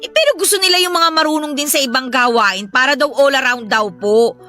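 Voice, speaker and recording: A woman's voice: sits high, fairly quick, sharp tone, soft background music. A woman playing a put-upon young Filipino daughter in a melodramatic audio drama, complaining and defending herself in a politely reproachful way, with a Filipino accent. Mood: sheepish, complaining. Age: young adult.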